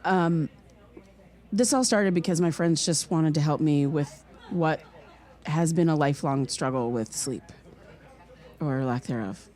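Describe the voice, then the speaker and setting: A woman's voice: low-pitched, unhurried, dark tone, some chatter behind. A woman playing an earnest, sleepless podcast host in an audio drama, speaking in a hesitant, searching way. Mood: tentative, candid. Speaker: podcast host interviewing a witness. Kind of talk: naturalistic audio drama dialogue.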